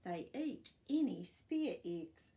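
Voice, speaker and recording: An older woman reads a non-fiction book to children, pausing to explain things in clear phrases. Her delivery is slow and animated, with pitch that rises and falls freely. There is some creak in her voice. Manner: expressive, clearly phrased, explaining to young listeners. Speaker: storytime host reading aloud. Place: small furnished living room, indoors.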